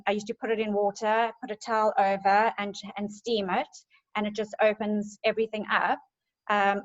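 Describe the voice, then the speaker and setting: Chatty woman, flat delivery. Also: mid-range voice, dull audio. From South Africa. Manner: chatty, enthusiastic, many fillers. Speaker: essential oils presenter. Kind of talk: casual group presentation.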